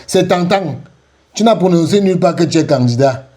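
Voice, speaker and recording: Mocking man with a lively high voice. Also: normal speed. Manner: sarcastic, taunting, repeats phrases, laughs scornfully. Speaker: commentator reacting to an interview clip. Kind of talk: mocking reaction commentary.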